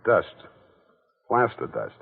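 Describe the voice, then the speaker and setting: An actor playing a wisecracking, laconic private detective, in 1940s radio acting. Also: male voice, slow pace, natural voice. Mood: wry. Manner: dry, laconic, teasing. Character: wisecracking hard-boiled male private detective. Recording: tinny old radio broadcast.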